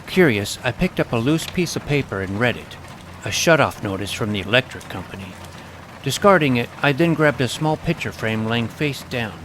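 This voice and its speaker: A man narrates a scripted story in the first person. His voice sits at a medium pitch. Clear ambient noise and effects run behind him. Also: animated, normal speed, a bit bright, rough recording.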